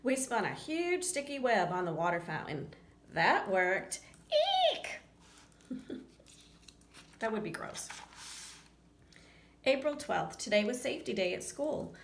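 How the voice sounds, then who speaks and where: A woman reads a children's story with very lively, swooping intonation and chatty asides. Her voice has a gravelly creak at times. The room sounds echoey, with faint noise behind her. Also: mid-range voice, takes it slowly, pauses often. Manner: expressive, acts out lines and chats to young listeners. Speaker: storyteller reading a picture book aloud to children. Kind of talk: read-aloud storytelling with casual asides. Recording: roomy echo, faint background noise.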